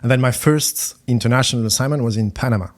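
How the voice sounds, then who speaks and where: A middle-aged man from France, a finance executive speaking as a podcast guest, talking haltingly with many repeated words and fillers. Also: mid-range voice. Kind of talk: conversational podcast interview.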